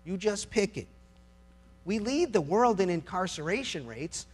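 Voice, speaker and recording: A man's voice: sits high, animated, measured pace, slightly rough. A middle-aged man, a lawyer, giving an impassioned political speech in an emphatic, sarcastic way, with rhetorical questions and repeated phrases.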